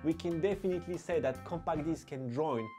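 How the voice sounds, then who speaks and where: A young adult man hosting a scripted, playful fact vlog in an animated way. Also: a little high, brisk. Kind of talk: energetic scripted fact-of-the-day vlog with skits. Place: small furnished room indoors. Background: background music.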